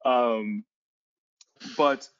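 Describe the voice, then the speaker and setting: A man's voice: mid-range voice, steady tone, extremely slow, clear, resonant tone. Man rambling through a webinar, muffled. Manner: rambling with frequent restarts and fillers. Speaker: webinar presenter on cybersecurity. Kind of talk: casual conversational webinar talk. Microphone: headset on a video call. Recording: dull lo-fi webinar audio.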